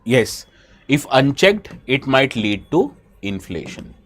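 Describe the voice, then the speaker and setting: A man's voice: medium pitch, very animated, slow, fairly bright tone. A man with an Indian English accent, who has a bad cold, gives a live exam-prep lecture and keeps asking his students questions. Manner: asks students questions, switches briefly into Hindi.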